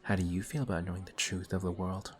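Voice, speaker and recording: An actor playing a courteous, formal villain who is sly and quietly taunting, in a one-sided audio roleplay. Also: male voice, sits low, steady tone, fast, lightly acted. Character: suave, polite male villain in civilian clothes. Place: coffee shop scene with faint café chatter.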